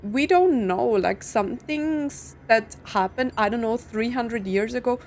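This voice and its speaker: A woman's voice: on the high side, a little slow, darkish tone, soft background music. A woman giving casual gameplay commentary, musing aloud in a rambling way. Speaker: video game streamer.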